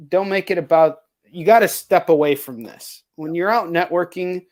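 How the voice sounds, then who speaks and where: Higher-pitched man, casual and earnest. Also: a little mellow. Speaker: talk show host, comic book creator. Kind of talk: casual chat.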